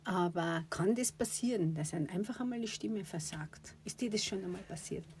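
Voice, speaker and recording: Woman host, bit of a cold in her voice, lowish, faint hiss behind. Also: normal speed, a little mellow. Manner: chatty, friendly questions. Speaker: interviewer, host. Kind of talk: casual interview.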